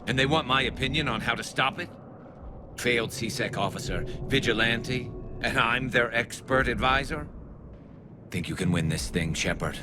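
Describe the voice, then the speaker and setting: An actor playing a wry, loyal alien soldier and former cop in a video game, with a dry, sardonic delivery. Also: male voice, medium pitch, normal speed, background noise, close to an everyday voice. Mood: wry disbelief.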